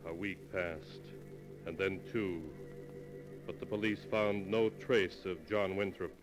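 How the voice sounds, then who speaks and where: An actor playing a solemn, ominous narrator, measured and dramatic, in a 1940s radio horror series. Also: male voice, sits low, steady tone. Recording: old golden age radio recording. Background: prominent music.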